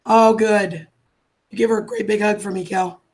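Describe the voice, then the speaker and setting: A woman, losing her voice from a cold, chatting warmly with viewers on a live stream and calling them darling and sweetheart. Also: mid-range voice, fairly even intonation, normal speed. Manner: affectionate, calls everyone darling and sweetheart. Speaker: livestream host. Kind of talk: casual live chat greeting viewers.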